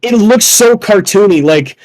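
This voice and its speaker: A young man chatting casually about films as a podcast guest, excitable and effusive, swearing freely. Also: high voice, unhurried, slight background noise, rough recording. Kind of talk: casual film discussion.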